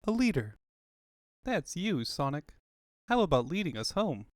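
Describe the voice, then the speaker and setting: A man puts on a young fox sidekick for a cartoon. He is earnest and full of admiration, speaking somewhat high with animated intonation. The sound is dry. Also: a little slow, no room sound. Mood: admiring. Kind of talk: comic book fan dub.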